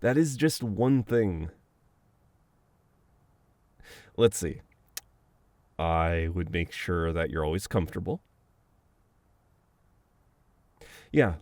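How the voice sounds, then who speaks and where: A man playing a flustered, teasing, protective best friend in an intimate, conversational audio roleplay, with halting banter. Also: sits low, darkish tone, no echo, exaggerated voice. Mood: playful, explaining.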